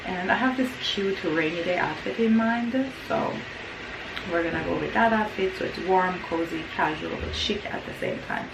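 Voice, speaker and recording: A young woman with a flu-roughened, raspy voice, sitting a little low in pitch. She chats to her vlog audience in a tired, rambling way and repeats words as she goes. The room is echoey, and a steady noise sits behind her. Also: normal speed. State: sick with flu, hoarse throat. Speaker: lifestyle vlogger. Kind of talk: casual vlog chat to camera. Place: indoors at home.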